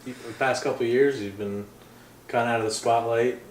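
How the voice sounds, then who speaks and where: A man's voice: mid-range voice, fairly even intonation, brisk. A man in his thirties hosting a casual interview and asking the questions. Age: 30s. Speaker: show host, interviewer. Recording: roomy echo, faint noise.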